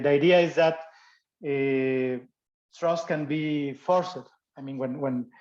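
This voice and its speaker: A man speaking English as a second language delivers an academic talk at a mid-range pitch. He stops and restarts often, searching for words through many fillers. The audio comes through dull and lo-fi. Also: a little mellow. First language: not English. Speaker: guest speaker.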